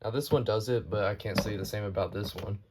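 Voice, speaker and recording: Low-pitched man, casual show-and-tell. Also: quick pace, background noise. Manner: matter-of-fact, informal, unscripted. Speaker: hobbyist collector presenting a video.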